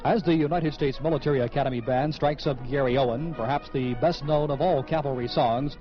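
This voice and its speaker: A man's voice: mid-range voice, a little fast. A man narrating a scripted radio program as its host, on a vintage lo-fi broadcast recording with music behind him.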